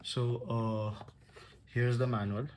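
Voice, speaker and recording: A man giving casual, halting commentary as a vlogger unboxing a product. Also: medium pitch, level tone, a little hiss or hum.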